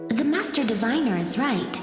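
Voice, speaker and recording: A woman's voice: a little high, lively intonation, lightly acted. Woman doing mysterious serene messenger lady for an anime game, solemn, echoey, music behind. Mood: calm, grave. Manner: solemn, formal, measured. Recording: echoey long reverb, loud music.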